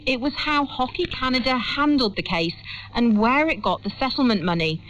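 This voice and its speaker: A woman's voice: a little high, a bit bright. A woman reporter reading a scripted news report, heard over shortwave radio. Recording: dull shortwave radio broadcast.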